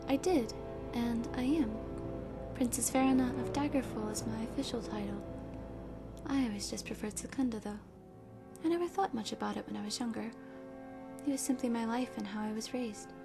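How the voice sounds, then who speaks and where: A young woman playing an earnest, sweet runaway princess in a video game, with a soft, sometimes breathy delivery. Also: on the high side, normal speed, music in the background. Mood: gentle, reflective. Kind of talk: video game character dialogue.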